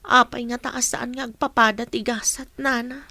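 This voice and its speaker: Woman acting high, bright, sulky Filipino young daughter. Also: flat delivery, moderate pace, no room sound. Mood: questioning. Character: sulky, envious young daughter questioning her mother. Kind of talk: radio drama acting.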